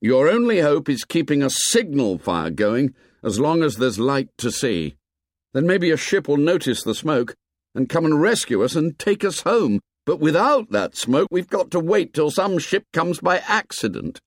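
A man voicing a desperate, earnest schoolboy leader, pleading and shouting in a raised voice. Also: fairly high pitch, sing-song, unhurried, no echo, clean studio sound, pitched above the performer's own voice. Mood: urgent, pleading. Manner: pleading, rising to angry shouts.

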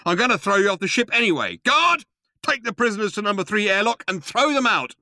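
A man's voice: sits low, slow pace, no echo, studio quality. A man voicing a callous alien starship captain in an audiobook, pompous, sneering and menacing. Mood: scornful command. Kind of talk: audiobook character voice.

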